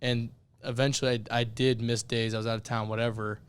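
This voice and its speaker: A young man co-hosting a casual podcast, his voice raspy and worn out from yelling, talking in a candid, self-deprecating way. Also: medium pitch, level tone, a little fast. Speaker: podcast co-host.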